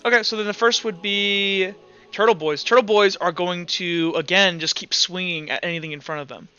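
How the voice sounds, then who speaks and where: A man's voice: sits high, steady tone, normal speed, bright, forceful tone, clean tone, muffled recording. A man running a tabletop roleplaying game, narrating off the cuff in an informal, thinking-aloud way. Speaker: game master. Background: background music.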